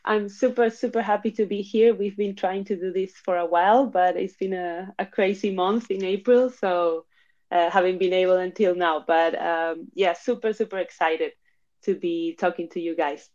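A woman's voice: lowish voice, fairly even intonation, moderate pace, a little mellow. A woman in her thirties, born in Argentina and raised in Spain, chatting casually as a guest with frequent fillers. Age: 30s. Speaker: guest, NFT platform founder. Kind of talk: casual chat. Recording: dull lo-fi audio.

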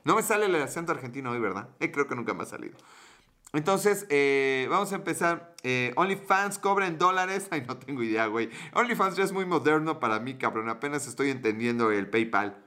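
Mexican male streamer, rambling and crude, bright forward tone. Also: medium pitch, lively intonation, fairly quick. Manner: joking, crude slang, rambling. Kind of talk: casual livestream chat, reading viewer comments.

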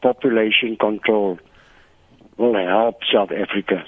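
A man's voice: fairly low pitch, steady tone, measured pace, dry recording. A man calling in to a radio show who speaks in a friendly, appreciative way. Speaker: caller. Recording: tinny phone line.

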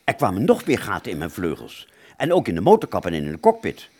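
A man's voice: fairly low pitch, wildly swooping pitch, fast, a little mellow, slightly rough, no room sound, exaggerated voice. A man playing a rambling, agitated wartime fighter pilot who relives battle in a stammering, dramatic radio play monologue. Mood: tense, fading.

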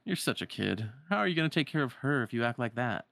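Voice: medium pitch, very animated, fast talker, vocal fry, fairly bright tone, dry recording. A performer as a cool, sarcastic teen rival. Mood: mocking. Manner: sarcastic, casual. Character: cool, dismissive, mocking teenage boy rival.

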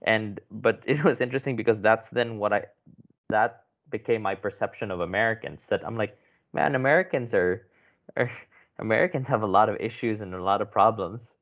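Low-voiced man from the Philippines. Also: fairly quick. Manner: conversational, frequent restarts and "right?" checks. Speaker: guest. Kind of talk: podcast interview. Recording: muffled lo-fi audio.

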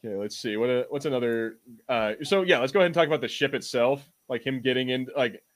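A man's voice: mid-range voice, fast. An American man co-hosting a podcast, talking casually in a rambling, slangy way about things he is a fan of. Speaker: podcast co-host.

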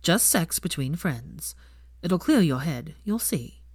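A woman's voice: low-pitched, big pitch swings, slow pace, a little mellow, slightly rough, no room sound, clean studio sound, exaggerated voice. A woman voicing a casual, coaxing man in an audiobook story. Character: smooth, coaxing adult man, casual seducer.

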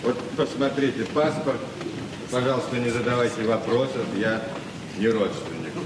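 An actor playing a polite, reserved scientist from Moscow, laconic and deadpan, in a 1970s Soviet radio drama. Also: male voice, mid-range voice, normal speed, natural voice. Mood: dry, patient. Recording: noisy old radio recording, echoey hall, distant.

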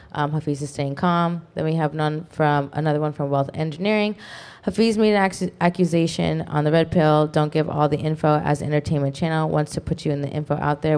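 A woman co-hosting an online talk show, reading viewer comments aloud quickly, with frequent fillers. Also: low voice, a little mellow, smooth. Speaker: co-host reading super chats. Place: online video podcast studio.

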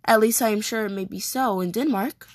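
A woman playing an anguished, vengeful young prince in a declamatory Shakespearean stage monologue with dramatic pauses. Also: medium pitch, dry recording, lightly acted. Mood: bitter, outraged. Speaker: performer rehearsing a soliloquy. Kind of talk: theatrical Shakespearean monologue, repeated takes.

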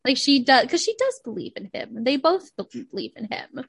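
A young woman co-hosting a casual podcast chat, animated, laughing often and acting out quotes. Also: high voice, moderate pace, gravelly, slightly rough, no echo. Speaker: podcast co-host.

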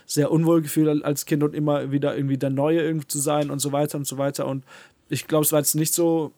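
Halting young man on a podcast, dry sound. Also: on the high side, flat delivery, mellow, no room sound. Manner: halting, many fillers and restarts. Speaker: podcast co-host. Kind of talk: casual conversation. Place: living in a city abroad.

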